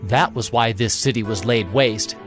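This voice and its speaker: An actor voicing provincial officials who read out a formal, accusatory letter. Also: male voice, on the low side, moderate pace, over music, natural voice. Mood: accusing.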